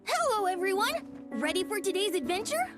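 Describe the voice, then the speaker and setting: A woman voicing a tiny, innocent, eager baby dragon from a storybook in a video game, squeaky, cute and bubbly. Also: very animated, a little fast, music in the background. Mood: cheerful.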